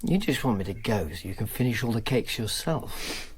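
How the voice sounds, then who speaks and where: A man's voice: fairly low pitch, lively intonation, normal speed, slightly rough. An actor playing a wry, cynical male informer in ancient Rome, given to sardonic quips, in a radio drama. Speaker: narrator and lead. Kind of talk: BBC radio drama acting.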